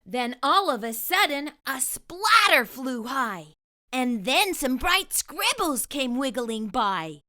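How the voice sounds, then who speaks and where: A woman's voice: sits high, sing-song, takes it slowly, bright, forceful tone. A woman narrating a children's storybook expressively, enunciating for young listeners as a storytime host.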